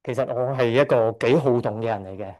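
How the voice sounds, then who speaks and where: A middle-aged man from Hong Kong speaks at a church service with a mellow, warm voice. He is hesitant, stops often to correct himself and lets out a shy chuckle now and then. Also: mid-range voice, quick pace. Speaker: church member giving testimony. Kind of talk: church testimony speech.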